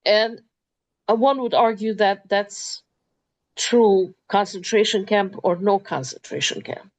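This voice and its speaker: A woman, hosting a talk in a thoughtful way, pausing with many ums. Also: medium pitch. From Transylvania, Romania. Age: 50s. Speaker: interview host. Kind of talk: moderated talk.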